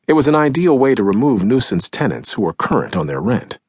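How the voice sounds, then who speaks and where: A man narrating a nonfiction audiobook in a measured, deliberate, dramatic way, with a husky voice, recorded close in a dry studio. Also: mid-range voice, big pitch swings, a little mellow, no echo. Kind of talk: scripted nonfiction audiobook narration, acting out quotes.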